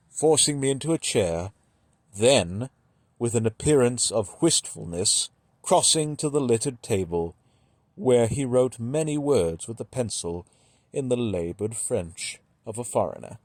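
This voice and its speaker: A man reads classic horror fiction aloud at a slow pace. His phrasing is deliberate and dramatic, broken by measured pauses. His mid-pitched voice sits in a dry space with very little room sound. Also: darkish tone, dry recording. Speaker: amateur audiobook reader. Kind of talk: dramatic literary horror story narration.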